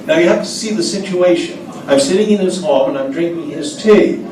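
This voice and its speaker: A man's voice: high voice, a little fast. An older American man, a former diplomat, telling anecdotes in an interview, hesitant with frequent fillers. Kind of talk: storytelling interview answers. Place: café event room. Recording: echoey room, distant mic, background noise.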